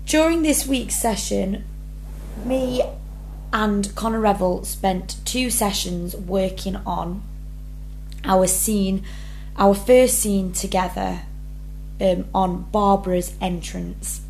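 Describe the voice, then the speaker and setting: A woman's voice: mid-range voice, slow, darkish tone, a little hiss or hum, low-quality recording. A young woman drama student, talking earnestly through her rehearsal blog and reading each question aloud before answering. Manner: earnest, many ums, reads prompts then answers.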